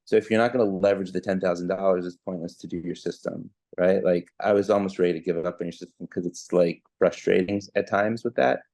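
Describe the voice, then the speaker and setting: A man from New York giving casual, halting interview answers as a guest, often restarting and correcting himself in a matter-of-fact way. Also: low voice, steady tone, vocal fry, darkish tone. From born in Canada, grew up in New York. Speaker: interview guest, sports bettor.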